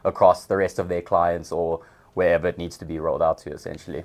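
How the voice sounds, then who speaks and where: A young South African man, a podcast guest, explaining his work in a relaxed way with some hesitations. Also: sits low, fast. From Johannesburg, South Africa. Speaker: guest, customer success manager. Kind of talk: casual interview.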